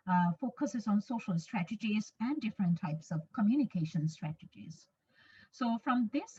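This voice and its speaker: A woman from Bangladesh with a Bangladeshi English accent, a native Bangla speaker, giving a measured conference webinar talk as an English teacher and repeating phrases for emphasis. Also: mid-range voice, darkish tone, husky. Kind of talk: webinar presentation. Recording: distant, muffled lo-fi mic.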